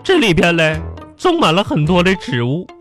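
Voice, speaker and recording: A woman playing a bossy, exasperated primary-school teacher in a radio comedy, scolding in a singsong with drawn-out sentence endings. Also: lowish voice, very animated, faint music behind. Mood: instructing. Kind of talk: radio sketch comedy acting.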